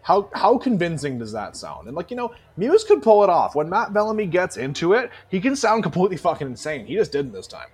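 Male podcast co-host, fairly high voice, very lively intonation, casual, sweary, animated music talk. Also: fairly quick, hardly any pauses, slightly rough.

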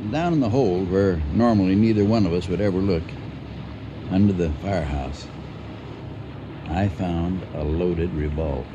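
Casting: older male skeptical farmer, actor, radio play, low voice, dry wry delivery, noisy old recording. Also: moderate pace, pauses often, muffled recording, natural voice. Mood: dry, suspicious. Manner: wry, deadpan, understated. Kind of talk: radio drama acting.

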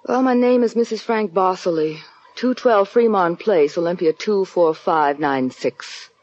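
Mysterious femme fatale, anxious, wistful, muffled vintage radio recording. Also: female voice, fairly low pitch, measured pace, darkish tone. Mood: guarded. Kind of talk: 1940s radio drama acting.

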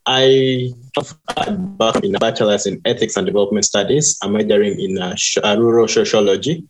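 A man with a lowish voice speaks as an interview guest. He talks haltingly and fills his pauses with "uh" and "um". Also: rough recording. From Uganda. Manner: hesitant, many fillers. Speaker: guest, university administrator. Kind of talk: interview answer.

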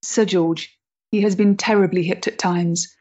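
An actress playing an earnest, composed Victorian companion with a measured, formal manner in a period stage drama. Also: female voice, fairly low pitch, fairly even intonation, warm tone, dull audio. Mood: grave, grateful.

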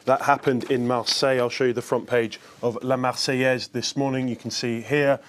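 A man's voice: medium pitch, level tone, normal speed. A man giving a live press review on a news programme, explaining what the papers say.

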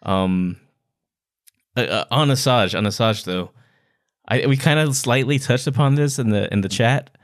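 A man's voice: mid-range voice, normal speed, long pauses. A man co-hosting a podcast, discussing books in an informal, playful way. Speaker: book podcast co-host. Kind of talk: casual book discussion.

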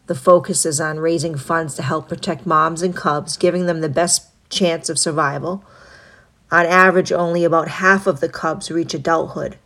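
A woman with a low voice hosts her own podcast. She is stuffed up with a cold, and her nose sounds blocked. She reads items from a list in a steady, even way and drops in brief asides. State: congested from a cold. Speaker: podcast host. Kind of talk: solo podcast reading a list.

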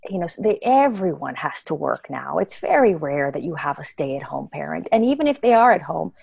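A woman being interviewed about reading children's books online, talking in an earnest, expressive way and laughing easily. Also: low-pitched, fairly quick, gravelly, slightly rough, dry recording, clean studio sound. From United States. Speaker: guest, children's book reader. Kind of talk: casual interview answers.

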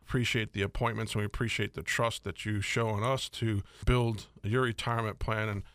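A man's voice: on the low side, flat delivery, brisk, fairly bright tone, dry recording. Man, middle-aged, radio host, chatty, upbeat, dry studio sound. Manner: upbeat, chatty, jokes between advice. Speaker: radio show host, financial advisor. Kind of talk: casual radio talk.

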